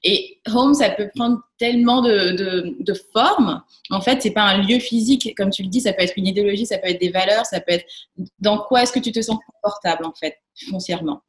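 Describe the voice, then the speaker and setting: A woman's voice: medium pitch, a little fast. A woman co-hosting a podcast, speaking casually and reflectively, mixing in English phrases. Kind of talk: casual chat.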